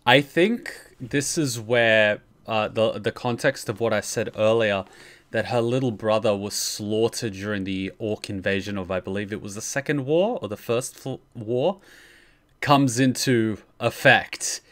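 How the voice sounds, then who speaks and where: A man's voice: lowish voice, measured pace, a bit bright. A man casually explaining game lore on a livestream, swearing freely as he goes. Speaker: streamer and lore commentator. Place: indoor room at a streaming setup.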